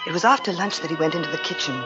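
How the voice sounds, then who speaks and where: An actress playing a frightened, guilt-haunted young wife in a 1940s radio thriller, pleading anxiously and often repeating herself. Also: female voice, lowish voice, racing, close to an everyday voice. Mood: haunted, confiding. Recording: dull old radio recording with music.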